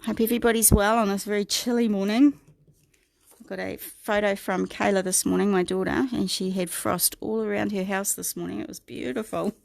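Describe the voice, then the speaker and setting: A middle-aged woman with a New Zealand accent, croaky this morning, chatting through a livestream craft tutorial and greeting viewers by name. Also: medium pitch, fairly even intonation, brisk, a little mellow, no room sound. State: croaky, clearing her throat.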